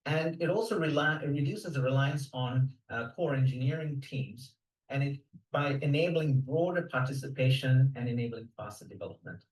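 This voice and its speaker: A man presenting his team's software project in a recorded pitch, steady and technical with a few fillers. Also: moderate pace, reverberant, far from the mic, poor audio. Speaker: codeathon team presenter.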